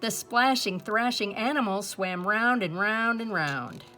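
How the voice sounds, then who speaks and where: A woman reading a rhyming picture book aloud as an expressive storyteller. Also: mid-range voice, a little slow, faint music behind.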